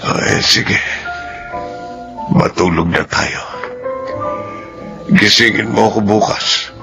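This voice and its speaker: An actor playing a gentle, loving middle-aged husband and father in a radio drama, speaking tenderly and reassuringly. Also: lowish voice, takes it slowly, vocal fry. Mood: tender, tired. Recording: music playing behind.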